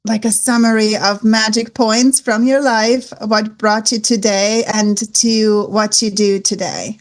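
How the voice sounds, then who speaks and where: A woman with a Swiss accent hosting a wellness interview, with an enthusiastic, effusive manner. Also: fairly high pitch. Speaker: host.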